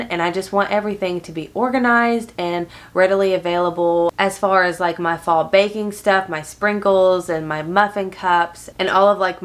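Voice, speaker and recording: A woman in her thirties with a nasal, congested voice from allergies, chatting upbeat to camera in a cozy living room. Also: lowish voice. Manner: chatty, upbeat, friendly direct address. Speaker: lifestyle vlogger. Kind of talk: casual talk to camera.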